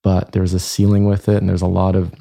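A man's voice: low voice, completely flat delivery, fast talker, vocal fry, dark tone. A man born in Croatia, chatting casually as an interview guest and telling anecdotes. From born in Croatia, lived in Germany and Canada. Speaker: podcast guest, chiropractor and speaker.

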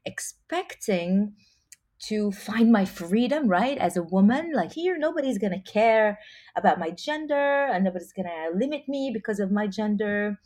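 A woman from Israel, a singer chatting as a guest in an interview. She is animated, laughs often and restarts her sentences. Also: medium pitch, moderate pace. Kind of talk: casual interview chat.

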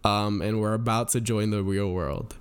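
A man's voice: on the low side, steady tone, normal speed. A man in his twenties co-hosting a casual podcast with a friend, from New Jersey and the Dominican Republic, who laughs often and hesitates with many fillers. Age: 20s. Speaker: podcast co-host. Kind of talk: casual chat between friends.

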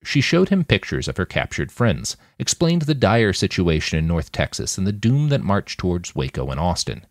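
Deep-voiced man reading fiction, very animated pitch. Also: moderate pace, hardly any pauses, no room sound, clean studio sound. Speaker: author reading own fiction podcast. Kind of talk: expressive scripted audiobook narration.

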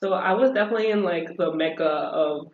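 A woman's voice: on the low side, brisk. Upbeat woman in a roomy space. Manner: upbeat, animated, frequent "like" fillers, laughs often. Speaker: interview guest. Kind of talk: casual conversational interview. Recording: roomy dull lo-fi audio.

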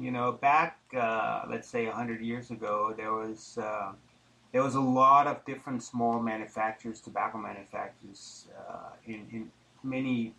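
A middle-aged man from Denmark, talking conversationally and haltingly as an interview guest. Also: mid-range voice, pushed and bright, poor audio. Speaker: interview guest, tobacco businessman. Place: studio, live interview. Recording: clear background noise.

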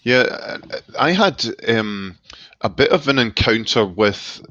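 A middle-aged man with a Glasgow Scottish accent, a seminary professor speaking as an interview guest in a measured, thoughtful way. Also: mid-range voice, animated, a bit bright, muffled recording. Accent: Glaswegian Scottish. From Scotland. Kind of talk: reflective interview talk. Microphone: remote call line.

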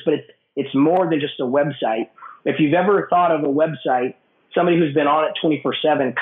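A man with a cold, his voice raspy and hoarse, answering interview questions at length with many restarts. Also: a little high. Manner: explanatory, with frequent restarts and fillers. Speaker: guest, business owner. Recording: tinny phone-like line in an echoey room.

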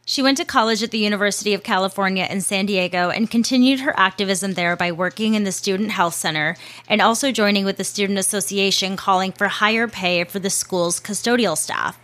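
A woman's voice: mid-range voice, fairly quick, hardly any pauses, fairly bright tone. A woman hosting a show, reading a scripted narration in a casual way with chatty asides and the odd swear word.

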